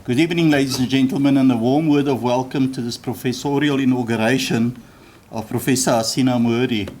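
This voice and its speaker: Man, South African accent, formal ceremonial address, measured delivery, mid-pitched voice, lecture hall. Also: steady tone, a little mellow. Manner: formal, measured, occasional restarts. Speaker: ceremony chair.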